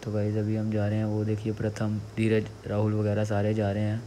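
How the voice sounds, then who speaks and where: A man's voice: lowish voice, completely flat delivery, fairly quick, warm tone. A young man giving casual travel vlog commentary, pointing out the surroundings to viewers. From Jammu, India. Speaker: vlogger. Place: outdoors, on motorbike and hillside trail. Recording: noisy outdoor motorbike and trail recording.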